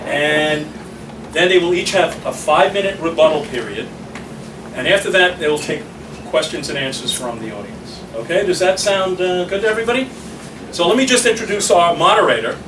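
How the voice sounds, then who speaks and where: A man, speaking as chapter president and introducing a debate to an audience, joking between fillers. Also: fairly high pitch. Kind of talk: public speaking at an event. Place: small meeting room. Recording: echoey room, far from mic, noisy.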